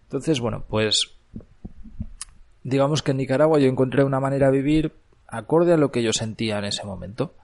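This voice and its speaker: A man in his thirties from Barcelona, hosting a podcast and reflecting on his life abroad in an unhurried monologue full of fillers. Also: mid-range voice, creaky, darkish tone. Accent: Barcelona Spanish. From Catalonia, Spain. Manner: reflective, frequent fillers and restarts. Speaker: podcast host. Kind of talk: personal storytelling monologue. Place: Granada, Nicaragua.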